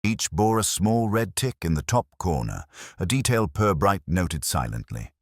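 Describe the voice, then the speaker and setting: A man narrating a mystery audiobook in a measured, literary storytelling manner. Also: low voice, very animated, no room sound, studio quality.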